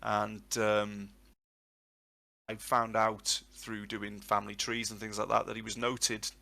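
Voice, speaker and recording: A man's voice: on the low side, completely flat delivery, slow pace, vocal fry, pushed and bright. A man in his thirties, a language tutor answering questions in a live session, long-winded and reflective. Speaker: Welsh tutor and guest. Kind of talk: live Q&A on a voice chat.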